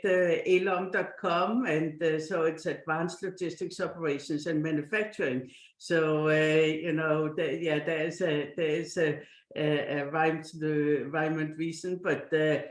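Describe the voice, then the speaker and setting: A middle-aged woman from Denmark with a Danish accent, answering interview questions conversationally in halting, thoughtful phrases. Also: deep voice, echoey room. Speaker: podcast guest, company chief executive.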